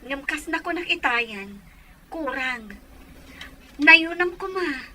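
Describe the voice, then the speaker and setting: A woman's voice: high-pitched, lively intonation, slow pace, pushed and bright, a little hiss or hum, exaggerated voice. A woman playing a worried elder sister in an audio drama, pleading and apologetic as she talks. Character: worried, pressed elder sister short of money.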